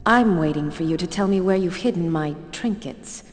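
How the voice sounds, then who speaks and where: An actress playing a stern, commanding sorceress in a fantasy video game, cold and scornful in manner. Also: female voice, on the low side, normal speed, lightly acted. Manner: imperious, scornful. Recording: long stone-hall reverb under music.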